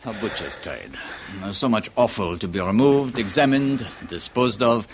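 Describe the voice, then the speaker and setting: Formal pathologist, swooping deep voice. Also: male voice, lively intonation, slight background noise. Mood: grimly matter-of-fact. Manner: formal, deliberate, theatrical explaining. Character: French male pathologist, formal, grimly precise, self-satisfied. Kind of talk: 1970s radio horror drama acting.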